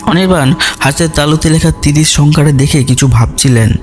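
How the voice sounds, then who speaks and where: A man's voice: on the high side, fairly quick. A man narrating a scripted detective story in a dramatic storytelling style. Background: background music.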